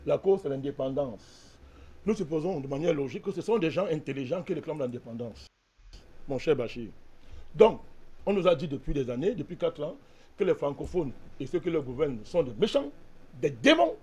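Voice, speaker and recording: A man's voice: a little high, lively intonation, fairly quick, darkish tone, husky. A man from Cameroon, a panelist in a heated political debate, arguing insistently and keeps speaking to the host by name. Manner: insistent, argumentative, repeats a direct address to the host.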